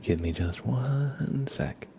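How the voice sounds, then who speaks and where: A man hosting an ASMR livestream, chatting casually in a soft, hushed voice that often slips into a whisper. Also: mid-range voice, expressive, takes it slowly, creaky, slight background noise. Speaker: livestream host. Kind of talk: ASMR, casual chat with viewers.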